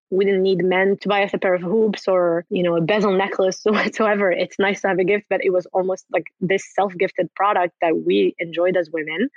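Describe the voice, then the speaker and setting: A woman's voice: fairly low pitch, no echo, highs cut off. A woman in her thirties from Morocco, a company co-founder answering interview questions in a talkative way and leaning on "basically". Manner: talkative, repeats words and fillers like "basically".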